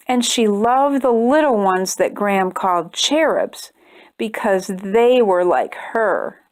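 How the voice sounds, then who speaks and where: A woman hosting a children's storytime, reading a picture book aloud with expressive, sing-song storytelling. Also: mid-range voice, slow. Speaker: storytime host.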